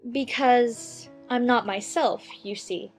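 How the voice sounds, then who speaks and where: A woman's voice: a little high, takes it slowly, a little mellow, faint music behind. A woman playing an earnest, puzzled little girl in a dramatized audiobook, with a polite, hesitant, musing manner. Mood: shy, polite. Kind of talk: dramatized audiobook character acting.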